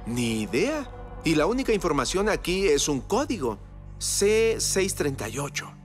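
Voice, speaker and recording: A man playing an enthusiastic, scholarly inventor father in a cartoon dub, with an expressive, professorial manner. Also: on the high side, unhurried, soft background music. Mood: musing.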